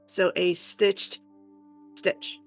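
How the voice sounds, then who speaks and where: A woman's voice: lowish voice, level tone, extremely slow, soft background music. A woman chatting casually as a livestream co-host, joking and teasing. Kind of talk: casual chat.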